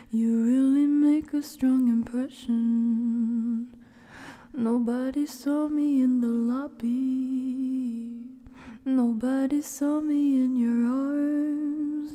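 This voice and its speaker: A woman live streamer with a soft, gentle manner, drifting between casual ASMR chat and soft singing. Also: sits high.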